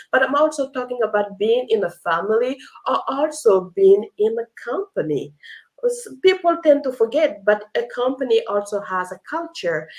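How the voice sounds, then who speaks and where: Woman, live interview host, medium pitch, effusive delivery, unscripted, repeats phrases for emphasis. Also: rough recording. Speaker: host of a live leadership talk show.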